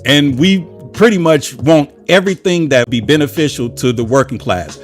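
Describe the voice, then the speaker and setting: A man from the United States delivering a fervent, preachy political monologue, stacking up repeated phrases like a sermon. His voice sits a little higher than most men's, with soft music playing faintly underneath. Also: moderate pace. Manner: preachy, with rhetorical repetition and emphatic lists. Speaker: political commentary channel host. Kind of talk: impassioned solo political monologue.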